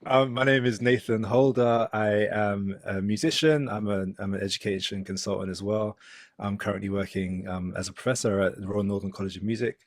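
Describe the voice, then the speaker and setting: A man with a London accent and a fairly low voice speaks as a guest on a video call. He talks in a relaxed, thoughtful way, pausing with ums and restarting his sentences. The sound is dry and close, with no room echo. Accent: London English. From London, England. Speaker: guest, musician and author. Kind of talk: relaxed conversational interview.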